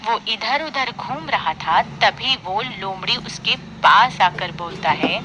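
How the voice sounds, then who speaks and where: A woman's voice: medium pitch, expressive, slow, heavy background noise. A woman narrating a scripted children's moral story, with music playing behind her.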